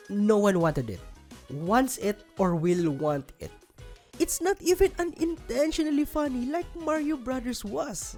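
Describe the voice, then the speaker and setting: A woman's voice: mid-range voice, darkish tone. A woman hosting a scripted countdown video, narrating and giving commentary. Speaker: host of a video list show.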